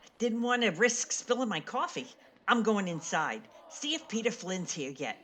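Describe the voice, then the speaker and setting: A woman's voice: mid-range voice, sing-song, moderate pace, a bit bright, a little hiss or hum. A woman playing a worldly, wisecracking theatre colleague in a radio play, blunt, slangy and sardonic. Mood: breezy, sardonic.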